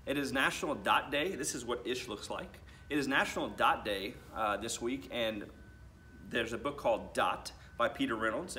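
An American man, a school principal in his thirties, reading a storybook aloud to children with deliberate phrasing and addressing them directly. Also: mid-range voice, bright, forceful tone, slight background noise. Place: school library, indoors.

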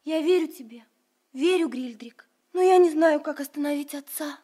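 Very high-pitched, tender young girl played by a woman. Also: moderate pace, soft background music, natural voice. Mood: tender, pleading. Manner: soft-hearted, pleading. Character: tender, anxious young giant girl, the farmer's daughter. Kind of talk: children's radio play acting.

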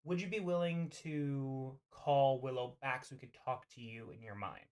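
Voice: mid-range voice, normal speed, a little mellow, clean tone. A game master narrating a tabletop roleplaying game in an informal, playful way. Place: online group voice call.

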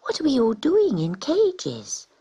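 Woman doing a high plaintive kitten boy. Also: wildly swooping pitch, takes it slowly, dark tone, husky, no echo, full character voice. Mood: wondering. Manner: plaintive, wailing.

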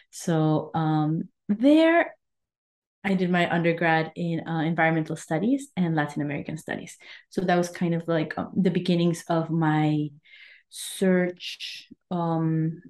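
A woman in her thirties from Mexico and Guatemala speaks as a podcast guest in a low, mellow voice. She talks earnestly and warmly. She often pauses with "um" while she finds the next word. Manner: warm, earnest, searching for words. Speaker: wellness coach, guest. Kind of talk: conversational interview.

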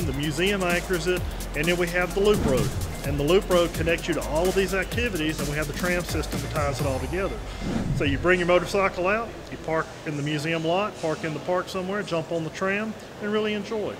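Male, 60s, museum spokesman in interview, folksy delivery, fairly high voice over loud music. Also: brisk. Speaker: museum representative. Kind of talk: informal on-camera interview, explaining. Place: large indoor museum hall.

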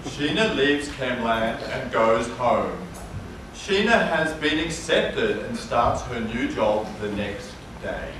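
A man's voice: mid-range voice, animated, takes it slowly. A man reading scripted narration for a stage play. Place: theatre with audience. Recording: echoey theatre from far off, noisy.

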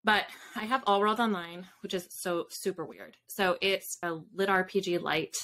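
A woman's voice: on the low side, steady tone, moderate pace, bright, forceful tone. A woman in her thirties chatting casually as an interview guest on a video call, informal and self-deprecating. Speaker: guest, fiction author and podcaster. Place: indoor home room with bookshelves. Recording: video call with background noise, clicks.

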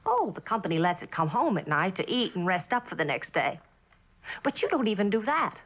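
Wounded, lonely wife. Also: female voice, mid-range voice, very animated, fairly bright tone. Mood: bitter, sarcastic. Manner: plaintive, halting, wounded. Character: lonely, neglected wife, pleading and bitterly sarcastic. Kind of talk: mid-century American television drama acting.

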